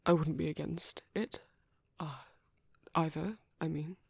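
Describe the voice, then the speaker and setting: A man playing a gentle, shy British vampire priest in a soft, intimate ASMR roleplay, with breathy whispering and a hesitant, tender manner. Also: fairly high pitch, fairly even intonation, a little slow, no room sound, full character voice. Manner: hesitant, flustered, tender, formal wording.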